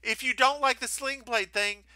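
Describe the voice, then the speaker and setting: A man co-hosting a podcast in casual chat, animated and teasing with a theatrical flair. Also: very high voice, normal speed, dry recording. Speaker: podcast co-host.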